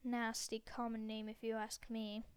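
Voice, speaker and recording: A woman's voice: a little high, monotone, unhurried, clear, resonant tone, no echo, close to an everyday voice. Storybook: woman playing a stiff, snappish wife, brief cold answers, dry close sound. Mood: curt. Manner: sharp, clipped. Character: curt, disapproving housewife.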